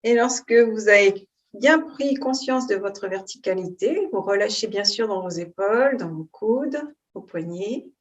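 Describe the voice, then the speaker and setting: A woman teaching yoga, giving calm, unhurried and soothing guidance on posture and breathing. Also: mid-range voice. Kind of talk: guided yoga and breathing instruction.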